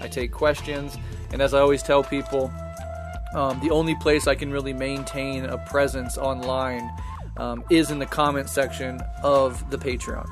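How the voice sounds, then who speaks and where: A man's voice: medium pitch, flat delivery, moderate pace. A man hosting a podcast, giving a casual spoken introduction. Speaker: podcast host. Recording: music under the voice. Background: guitar music.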